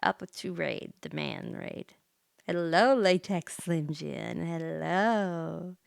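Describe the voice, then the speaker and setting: A woman's voice: fairly low pitch, slow, creaky, smooth, dry recording. A tipsy, sleepy woman hosting a livestream, chatting casually in a rambling way. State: tipsy from wine. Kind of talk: casual chat between game read-alouds. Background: faint background music.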